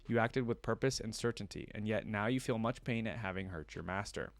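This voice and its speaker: An ancient, shrouded female judge from a dark storybook, voiced by a man. She probes with measured, cold severity in a low voice. The recording is dry. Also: creaky, dry recording. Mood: probing judgment. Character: ancient veiled winged female judge, severe, piercing, wise.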